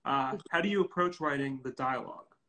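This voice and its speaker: A man's voice: mid-range voice, fairly quick, pushed and bright, rough recording. A young man hosting a casual interview over a video call in an informal, easygoing way. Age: young adult. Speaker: interviewer, host. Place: indoor room at home, video call.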